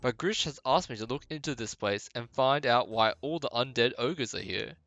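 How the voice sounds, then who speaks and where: A man narrates a video game quest in a bright, medium-pitched voice with noticeable creak. He mixes read-out dialogue with casual player commentary. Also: moderate pace, no room sound, lo-fi sound. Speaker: gamer voicing a quest playthrough.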